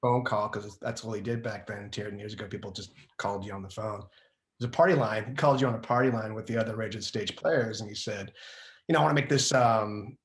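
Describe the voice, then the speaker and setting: Echoey, lowish-voiced man chatting casually. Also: fast. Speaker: film director, guest. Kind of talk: casual chat, reminiscing. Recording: echoey room sound.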